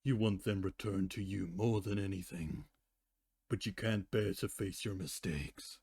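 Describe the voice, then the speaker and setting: A man's voice: low-pitched, steady tone, measured pace, vocal fry, a little mellow, slightly rough, no room sound. A man who streams, reading fiction dramatically and bantering casually with teasing, foul-mouthed talk.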